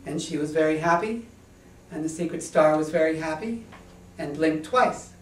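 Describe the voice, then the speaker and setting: A man reading a children's story from a stage in a deliberate, whimsical, rhythmic way. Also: fairly high pitch, slight background noise. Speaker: author reading own story. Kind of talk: children's storytelling to a live audience. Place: small indoor stage before an audience. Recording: echoey room, distant microphone.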